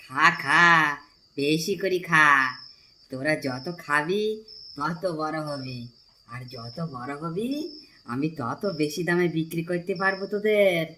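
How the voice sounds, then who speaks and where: A man's voice: a little high, slow, long pauses, bright, forceful tone, slight background noise, heavily acted. A man voicing a greedy, cowardly village thief in a cartoon, prone to repeat himself nervously. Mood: gloating. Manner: stammering, repeating words when nervous. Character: greedy, sneaky village thief Raghu, male, cowardly and pleading. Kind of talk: cartoon voice acting.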